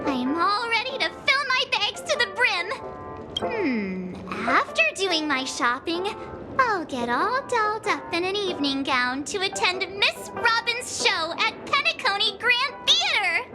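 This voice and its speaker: An actress voicing a bubbly, excitable, chatty teenage girl in an anime-style video game, with animated, gushing, theatrical delivery. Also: very high-pitched, full character voice. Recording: loud music behind.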